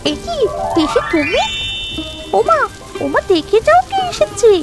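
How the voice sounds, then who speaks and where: Very high-pitched, stubborn little girl. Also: very animated, a little slow, over loud music, exaggerated voice. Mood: eager, insistent. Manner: insistent, childlike. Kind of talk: animated folk-tale cartoon dialogue.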